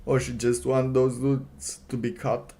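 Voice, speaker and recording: A man doing casual livestream commentary, reading aloud and reacting in a halting, sardonic way, often repeating his phrases. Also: mid-range voice, level tone, dark tone. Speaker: livestream host.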